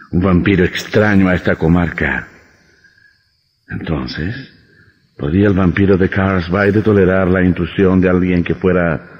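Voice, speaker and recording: Very slow, sinister village doctor. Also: male voice, sits low, breathy edge, heavily acted. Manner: menacing, mocking, deliberate. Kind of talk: old radio horror drama acting. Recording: muffled old radio recording, long reverb.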